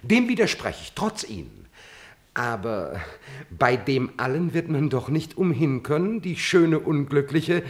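An actor playing a scheming, coldly insinuating courtier in a classical German radio drama, formal and falsely deferential. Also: male voice, on the high side, very animated, measured pace.

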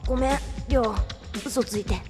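A woman voicing a scrappy young boy in an audio drama, blunt and cheeky with rough street slang. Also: fairly high pitch, normal speed, over music, close to an everyday voice. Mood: subdued. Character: brash, scrappy, foul-mouthed young boy. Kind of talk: anime audio drama acting.

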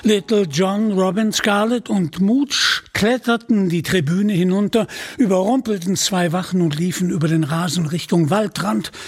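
A male narrator reading a dramatic story from a script. His voice is high for a man and husky, and he takes his time with the telling.

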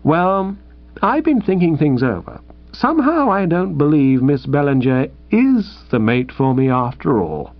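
A man voicing a sheepish, flustered young gentleman who hesitates and stumbles through his words. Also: a little high, sing-song, measured pace, no room sound, pitched above the performer's own voice. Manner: hesitant, stammering, full of fillers. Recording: slightly dull lo-fi recording.

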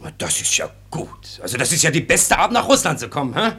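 An actor playing a self-important, sly police sergeant in a German radio play, officious and wheedling, with a husky, gravelly voice. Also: male voice, medium pitch, wildly swooping pitch, a little fast, pushed and bright, faint music behind. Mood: smug, muttering.

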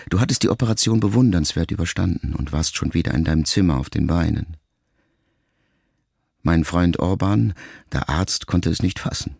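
An actor playing a wounded, reflective ageing son in an intimate radio drama monologue, speaking in a measured, gravelly voice. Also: male voice, sits low, a little mellow, no echo, clean studio sound, full character voice. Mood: reflective, troubled. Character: grieving ageing son addressing his dying mother, wounded, reflective.

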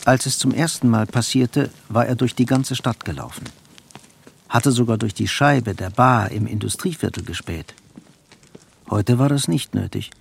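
Creaky-voiced male radio drama narrator. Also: mid-range voice, slight background noise. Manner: measured, storytelling. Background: faint music.

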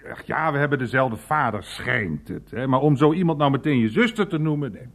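A man's voice: medium pitch, animated, fairly quick. A man playing a blunt, irreverent older uncle in a naturalistic radio play, grumbling and swearing as he rambles. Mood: dismissive, grumbling.

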